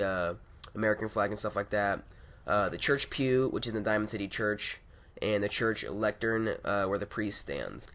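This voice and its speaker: A man with a raspy, hoarse voice from being sick, casually reviewing game mods with a rambling, filler-heavy delivery. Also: lowish voice, fairly bright tone. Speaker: gaming YouTuber. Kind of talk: casual mod review over gameplay.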